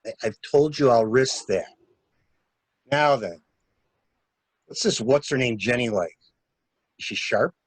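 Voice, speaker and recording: A man's voice: mid-range voice, measured pace, pauses often, breathy edge, no echo. A man playing a cool, insistent stranger in an amateur dramatic reading of a murder mystery. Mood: brisk, probing. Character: cool, insistent male stranger scheming to shield a suspect. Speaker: library staff reader.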